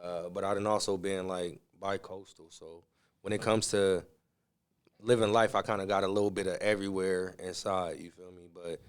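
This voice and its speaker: A man's voice: sits low, steady tone, normal speed, clean tone. A man from Southern California giving casual interview answers in a laid-back, slangy way. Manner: laid-back, slangy, often says "you feel me". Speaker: interview guest, musician and entrepreneur.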